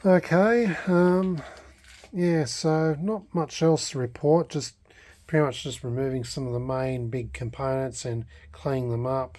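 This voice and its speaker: A man narrating a casual, unscripted hobby vlog in a hesitant, halting way, thinking aloud as he goes. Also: medium pitch, steady tone, darkish tone. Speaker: hobbyist vlogger restoring a vintage radio.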